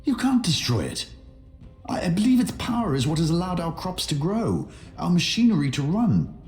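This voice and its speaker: Earnest devotee, very expressive. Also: male voice, fairly high pitch, moderate pace, reverberant, faint music behind. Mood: anxious pleading. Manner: earnest, urgent. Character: earnest, anxious male devotee pleading for his people. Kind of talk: video game voice acting.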